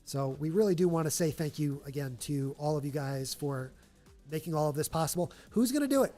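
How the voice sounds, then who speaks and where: A man's voice: on the high side, expressive, fairly quick, warm tone, faint music behind. A man with a nasal voice hosting a live charity stream, chatting upbeat to fill time. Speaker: livestream host. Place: stadium event room.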